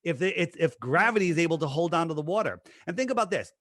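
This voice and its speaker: A man's voice: a little high, animated, quick pace, a bit bright, dry recording, clean studio sound. A man being interviewed in a casual conversation, talking emphatically and piling up rhetorical questions. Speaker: interview guest.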